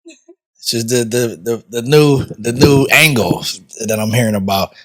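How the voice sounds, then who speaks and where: A man's voice: medium pitch, measured pace, poor audio. A man co-hosting a podcast, asking a guest curious questions with frequent restarts. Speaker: podcast co-host. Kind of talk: casual podcast chat.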